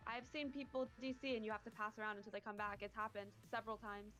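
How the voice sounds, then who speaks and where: A woman in a casual voice chat who talks quickly and hedges, unsure how to put her point. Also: mid-range voice, flat delivery, fairly bright tone, faint music behind. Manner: quick, unsure, often hedging.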